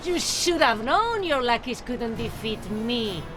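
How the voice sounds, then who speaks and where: A bold young heroine of a swashbuckling game. The high voice moves animatedly, sassy and full of spirit, with clear music behind. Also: female voice, lively intonation, a little slow. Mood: defiant. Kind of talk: animated video game acting.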